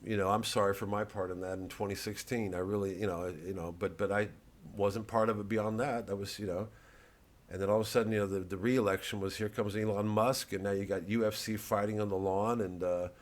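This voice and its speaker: A man, a satirical comedian, rambling casually and thoughtfully in a halting, digressive monologue with some swearing. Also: fairly low pitch, steady tone. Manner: halting, ruminative, digressive, profane.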